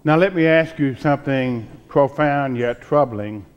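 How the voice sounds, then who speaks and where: An older man in his sixties reads scripture aloud as a pastor. His pace is very unhurried and his pitch sits in the middle range. He phrases solemnly and leaves pauses between thoughts. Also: clear, resonant tone, lo-fi sound. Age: 60s. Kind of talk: measured pulpit scripture reading. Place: church sanctuary, at the pulpit.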